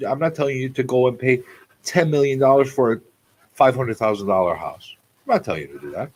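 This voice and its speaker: A man's voice: mid-range voice, brisk, a little mellow. A man in his forties hosting a casual live talk show, repeating phrases for emphasis as he talks off the cuff. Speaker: radio show host.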